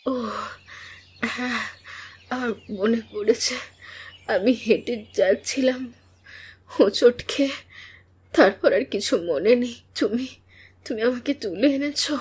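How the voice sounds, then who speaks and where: A woman's voice: fairly high pitch, measured pace, pauses often, breathy edge. A woman playing an earnest, anxious young village sister in a cartoon drama, speaking in an emotional, pleading way. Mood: weak, grateful.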